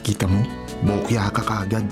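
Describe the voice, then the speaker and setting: Low-voiced teasing Filipino big brother, played by a man. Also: little pitch movement, moderate pace, over music, close to an everyday voice. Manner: breezy, casual, ribbing. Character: easygoing, teasing protective older brother. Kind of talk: Filipino radio drama acting.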